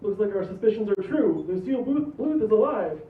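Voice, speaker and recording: A man as high-voiced suspicious secret agent, echoey. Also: very animated, brisk, slight background noise. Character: suspicious male intelligence agent, adopted son. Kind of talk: comedy table read. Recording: echoey, distant, tinny phone-like recording.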